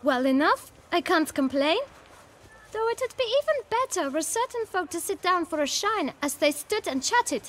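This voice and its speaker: A woman's voice: extremely high pitch, big pitch swings, moderate pace, a bit bright, exaggerated voice. A woman playing a cheeky, chatty young street urchin boy in a video game, with mock-professional shoeshine patter. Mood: chatty, proud. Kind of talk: video game dialogue acting.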